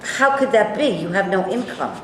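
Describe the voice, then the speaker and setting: A woman's voice: medium pitch, lively intonation, moderate pace, natural voice. A woman playing a brash, opinionated, combative man in an amateur staged play reading, blunt and needling in manner. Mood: argumentative. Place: echoey room, staged reading. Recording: very echoey room, faint noise.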